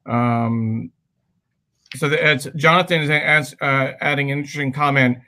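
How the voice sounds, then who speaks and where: A man co-hosting a casual livestream over a video call, speaking in halting phrases. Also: medium pitch, steady tone, bright, forceful tone. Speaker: livestream co-host.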